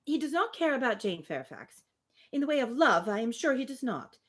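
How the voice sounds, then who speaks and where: A woman's voice: high-pitched, sing-song, a little fast. A woman reading a spirited, opinionated young woman from a Regency novel, emphatic and formal. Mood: indignant.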